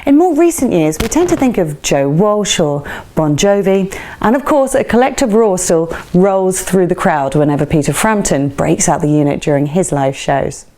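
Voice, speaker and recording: A woman presenter reading a scripted radio-style feature in a measured, presenterly way. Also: lowish voice, lively intonation, warm tone. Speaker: presenter of a rock music feature.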